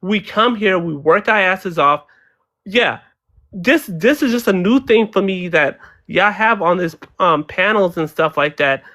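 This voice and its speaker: A man's voice: sits high, expressive, unhurried, bright, forceful tone. A man speaking as a guest on an online debate panel, talking earnestly and restarting his sentences often. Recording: dull lo-fi audio.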